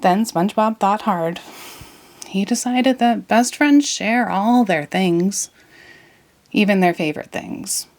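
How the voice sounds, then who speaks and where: A woman reading a children's story aloud in an expressive, deliberate way. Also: mid-range voice. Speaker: storybook reader. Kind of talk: children's read-aloud narration.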